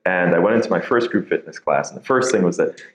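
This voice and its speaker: Very deep-voiced man in an echoey room. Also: animated, fast. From Nigeria and the Bahamas. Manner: self-deprecating, laughs easily, repeats words when starting. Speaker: podcast guest, tech founder. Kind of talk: casual interview storytelling.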